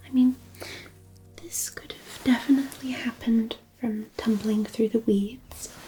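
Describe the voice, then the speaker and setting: A kindly, attentive fairy, played by a woman murmurs soothingly in a breathy near-whisper. The voice is a little high and very unhurried, gently playful, with soft music behind it in a fantasy ASMR roleplay. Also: poor audio.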